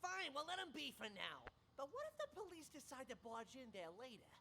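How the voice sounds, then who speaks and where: A twitchy, crude henchman patient in a radio drama. The voice is bright and edgy, leaping up and down wildly as it stammers and blusters, with a little background noise. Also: sits high, wildly swooping pitch, fairly quick, exaggerated voice. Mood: cocky. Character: jittery, crude, skeptical henchman mental patient. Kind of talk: interactive radio drama acting.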